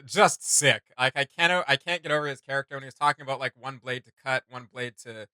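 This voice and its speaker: A man's voice: mid-range voice, steady tone, quick pace, sharp tone, smooth, dry recording. A man chatting casually and enthusiastically as a reaction host, rambling and slangy. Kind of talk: casual enthusiastic fan chat.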